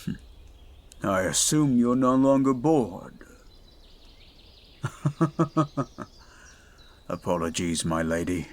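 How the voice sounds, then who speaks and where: A man voicing a towering male goliath bodyguard who is stoic, dutiful and protective, speaking in a formal, measured, commanding way in an audio roleplay. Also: on the low side, very animated. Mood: dry, gentle.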